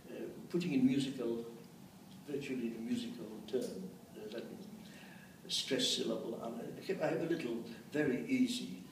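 A man's voice: mid-range voice, moderate pace. An elderly man in his eighties, a translator presenting and reciting verse expressively, with rhythmic emphasis. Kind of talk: expressive poetry recitation with spoken commentary. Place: indoors, meeting room. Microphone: camera or phone a short distance away. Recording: roomy, distant mic with faint noise.

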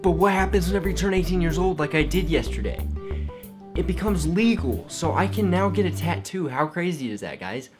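A man's voice: a little high, expressive, a little fast, over music. An eighteen-year-old man vlogging in his bedroom, talking in a goofy, boastful, rambling way. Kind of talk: comedy vlog. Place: bedroom with gaming setup.

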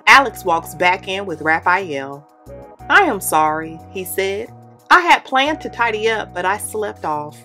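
A young woman, host of the channel, reading a children's picture book aloud in an expressive, animated way. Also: mid-range voice. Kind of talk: read-aloud storytelling. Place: indoor studio with plain orange backdrop.

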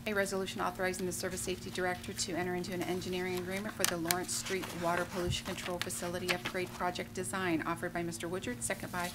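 A woman clerk reads aloud formally and quickly at a council meeting. Noticeable noise runs behind her in the chamber. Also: fairly low pitch, monotone. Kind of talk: formal roll call and reading aloud. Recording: noisy council chamber room recording.

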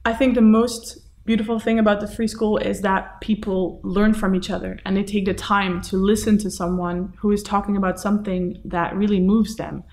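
A young woman speaking to camera as host, gentle and earnest in a plain indoor room. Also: mid-range voice, flat delivery, moderate pace, hardly any pauses. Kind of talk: casual talk to camera.

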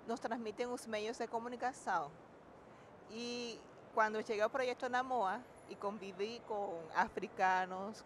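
A woman in her forties whose first language is Spanish, with a Spanish accent, speaking haltingly and reflectively into a handheld mic at a panel. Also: medium pitch, slight background noise. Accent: Spanish-speaker's Portuguese. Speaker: panel guest. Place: large indoor event hall.

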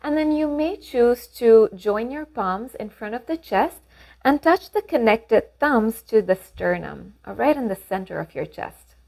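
A woman leading a guided meditation, giving gentle, measured instructions with pauses between phrases. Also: medium pitch.

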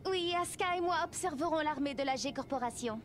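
A woman's voice: sits high, moderate pace, soft background music, close to an everyday voice. An actress playing a poised young woman who speaks in a composed, formal way.

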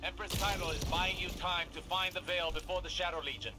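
A man's voice: sits high, steady tone, brisk, lightly acted. A man playing a stern, scholarly mentor in a video game, giving grave, urgent instruction. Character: stern, scholarly male mentor guiding a warrior. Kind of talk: dramatic video game acting. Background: loud music.

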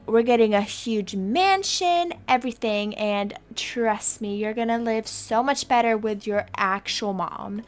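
A woman's voice: on the high side, natural voice. A woman playing a brazen, self-satisfied kidnapping mother in a video game roleplay, breezy and muttering to herself.

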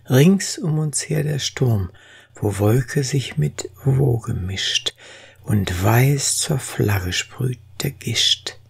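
Slow solemn poetry recital, really breathy husky voice with some gravel in it, expressive. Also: mid-range voice. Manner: solemn, expressive, deliberate phrasing. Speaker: poetry reader.

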